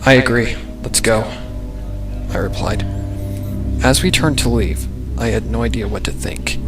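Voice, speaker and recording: Male story narrator over loud music. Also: mid-range voice. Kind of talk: scripted first-person dark fan fiction narration.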